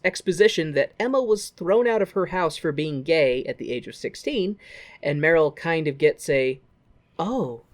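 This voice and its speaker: An irreverent podcast co-host with a mid-pitched voice recaps a movie in a casual, comic way. The delivery is conversational and sweary, with jokey asides dropped into the retelling. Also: a little mellow.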